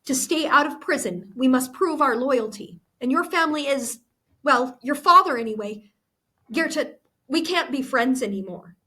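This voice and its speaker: A woman's voice: high-pitched, animated, normal speed, pushed and bright, pitched above the performer's own voice, exaggerated voice. A woman voicing an angry, hurt twelve-year-old girl in a book read-aloud, accusing and emphatic. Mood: hurt, firm.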